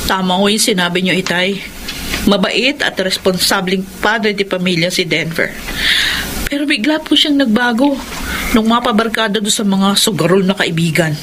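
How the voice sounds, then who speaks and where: A woman's voice: mid-range voice, normal speed, a bit bright, a little hiss or hum, close to an everyday voice, poor audio. A Filipino woman playing a worried, nagging young wife and mother in a radio drama, pleading and pressing. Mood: troubled. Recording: background music under the voice.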